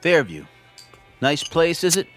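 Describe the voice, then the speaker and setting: A man's voice: a little high, sing-song, measured pace, fairly bright tone, soft background music. An actor playing a firm, composed young biker leader in a radio drama, brief and decisive in his speech. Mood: cool, evasive.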